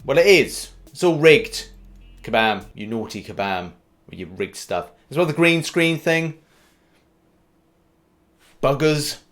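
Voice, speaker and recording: A man's voice: medium pitch, sing-song, takes it slowly, pauses often, fairly bright tone. A British man hosting an upbeat gaming news update, informal and cheeky, punching the starts of his phrases. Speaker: gaming news YouTuber and host.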